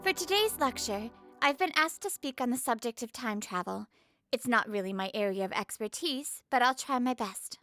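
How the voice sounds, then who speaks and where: A woman's voice: a little high, expressive, normal speed, fairly bright tone, dry recording, faint music behind. A woman playing a poised young female genius scientist, lecturing politely and articulately in a visual novel fan dub. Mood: polite, slightly nervous.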